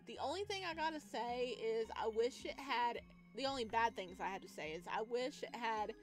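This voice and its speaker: Expressive high-voiced woman playing games. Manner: expressive, excitable. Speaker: gaming video creator. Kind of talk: casual let's play commentary and read-aloud.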